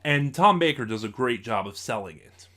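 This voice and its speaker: Man reviewing in a halting, informal way. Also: medium pitch, lively intonation, bright, forceful tone. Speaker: host of a review show. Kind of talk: informal audio drama review to camera.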